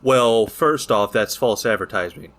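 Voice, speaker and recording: Low-pitched man in casual, profane banter. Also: unhurried, a bit bright. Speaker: podcast co-host. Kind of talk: casual chat.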